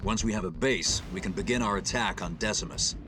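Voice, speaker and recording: An actor playing a decisive, steady military captain in a video game, giving firm, businesslike orders. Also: male voice, fairly low pitch, fairly quick, close to an everyday voice. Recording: music playing behind the voice.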